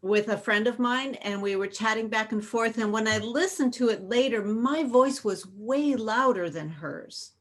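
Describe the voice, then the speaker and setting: A woman's voice: fairly high pitch, moderate pace, hardly any pauses. A woman tantra instructor with a big, room-trained voice, chatting and asking questions on a video call.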